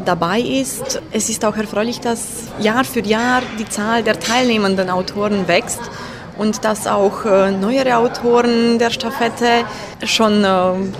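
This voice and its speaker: Hesitant woman amid crowd chatter. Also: medium pitch. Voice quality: frequent hesitant fillers. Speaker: chair of a literary circle. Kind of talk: interview answer.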